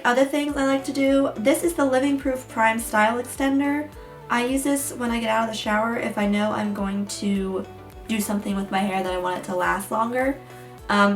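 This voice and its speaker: A young woman, a little hoarse, chatting her way through a hair tutorial with lots of ums and likes. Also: a little high. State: hoarse, getting her voice back. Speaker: beauty vlogger. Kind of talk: casual hair tutorial chat. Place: room at home beside a window. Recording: echoey room, mic a bit far.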